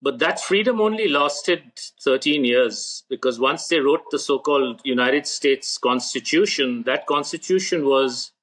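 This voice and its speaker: A man answering as a podcast guest, measured and blunt, making short firm statements. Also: mid-range voice, animated, a bit bright. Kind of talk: interview answers.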